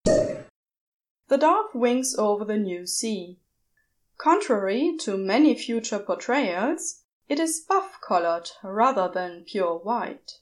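Slow, high-voiced woman narrating. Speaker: podfic narrator. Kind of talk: scripted story narration.

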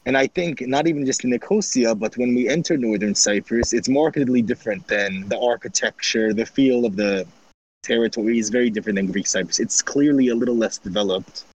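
Man recounting a trip, measured. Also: medium pitch, no echo, poor audio. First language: Armenian. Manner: measured, with frequent restarts.

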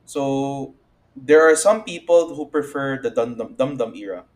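Podcast co-host: young man, mid-range voice, unhurried and halting, measured, casual analysis. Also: medium pitch. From Philippines.

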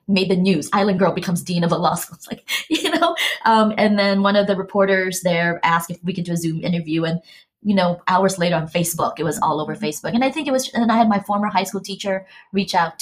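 A woman law school dean from the Philippines answering interview questions humbly, laughing easily, with fillers and restarts. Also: on the low side.

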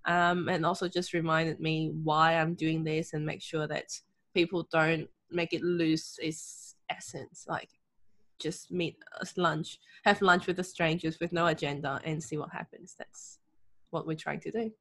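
A middle-aged woman from Hong Kong with a Hong Kong English accent, chatting in an interview, lively, often laughing, with frequent ums. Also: sits low, measured pace. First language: Cantonese. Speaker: guest, author and confidence coach. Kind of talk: casual interview talk.

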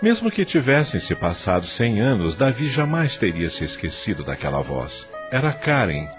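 Male Brazilian narrator for radio drama: low, solemn, highly animated intonation, music beneath.

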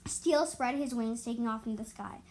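A woman's voice: a little high, normal speed, a little mellow. A woman reading animal stories as an amateur storyteller, stumbling over and repeating words and adding explanatory asides.

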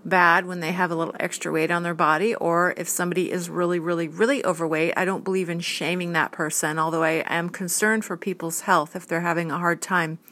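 A woman in her fifties talks in an earnest, rambling way, ticking off items in lists. Her voice sits low with a gravelly fry. It is a casual monologue to camera. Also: a bit bright. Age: 50s. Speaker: vlogger and art model.

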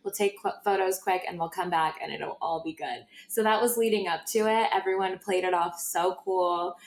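A woman hosting a podcast, telling a personal story in a casual, gushing and emphatic way. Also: mid-range voice. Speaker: podcast host. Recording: roomy, echoey sound.

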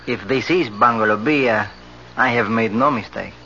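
An actor playing a cocky, suave young Latin lover in a 1970s radio drama. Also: male voice, medium pitch, normal speed, pushed and bright. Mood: mocking amusement. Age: young adult. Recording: muffled, noisy vintage radio broadcast.

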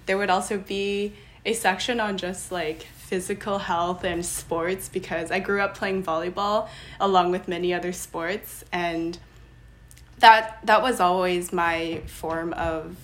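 A young adult woman from Los Angeles, a podcast guest chatting casually and laughing often. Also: on the low side. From Los Angeles, USA. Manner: bubbly, laughs often, quick restarts. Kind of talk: casual interview chat.

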